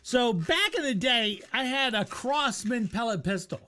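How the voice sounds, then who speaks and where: A man's voice: very high voice, animated, measured pace, bright, forceful tone. A man hosting a casual storytelling stream, speaking conversationally with some swearing and repeating himself for emphasis. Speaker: storyteller and host reacting to a video.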